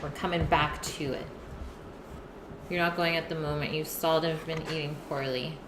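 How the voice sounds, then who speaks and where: A woman's voice: low voice, steady tone, normal speed. A woman hosting a casual cooking livestream from her home kitchen, chatting with viewers and answering their messages as she works. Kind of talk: casual cooking stream chat. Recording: echoey kitchen with background noise.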